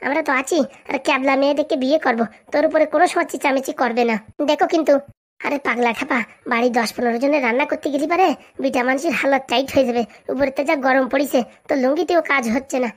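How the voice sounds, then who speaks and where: A woman acts out an excitable village cartoon character, very high in pitch and talking at breakneck speed. The rural Bengali accent comes with colloquial, exclamatory comic banter. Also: fast talker, lightly acted. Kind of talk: comedy cartoon dialogue.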